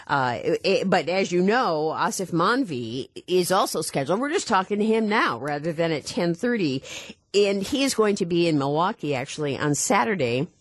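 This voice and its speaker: A woman hosting a live radio talk show, earnest and measured as she introduces a guest. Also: fairly low pitch, lively intonation, dry recording, studio quality. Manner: earnest, measured, reads quotes aloud. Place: radio studio.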